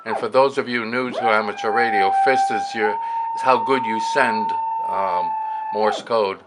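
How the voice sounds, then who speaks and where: A man's voice: medium pitch, fairly even intonation, unhurried. A man giving a casual, unscripted hands-on radio demonstration, explaining things and thinking aloud as he works. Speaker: amateur radio hobbyist demonstrating a receiver. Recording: clear background noise, radio static. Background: shortwave radio stations and static.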